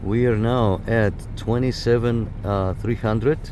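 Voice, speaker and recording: Low-voiced man, noisy casual outdoor review. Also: steady tone, a little slow, darkish tone. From Canada. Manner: informal, addresses viewers directly. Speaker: video host reviewing radio gear. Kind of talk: casual hands-on product review. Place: outdoors in a parking lot and inside a parked car. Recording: noisy outdoor recording with car sounds.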